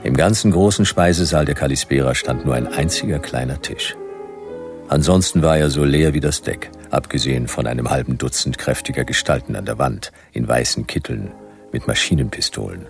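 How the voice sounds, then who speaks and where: An actor playing a laconic hardboiled detective who narrates a radio play in a dry, clipped, gravelly delivery. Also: male voice, a bass voice, a little fast, faint music behind. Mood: wry, watchful.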